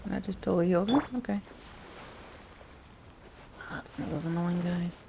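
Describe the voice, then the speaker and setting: A woman's voice: lowish voice, fairly even intonation, normal speed, creaky, noisy background. A woman gamer casually commenting and reacting as she plays a video game. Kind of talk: casual let's-play commentary. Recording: loud game music behind the voice. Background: video game music.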